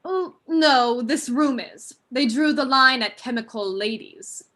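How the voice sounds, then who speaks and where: A woman's voice: sits high, a little slow, bright, forceful tone. A young woman acting a chatty, breezy daughter of a country house in a staged play reading. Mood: breezy, helpful. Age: young adult. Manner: breezy, gossipy.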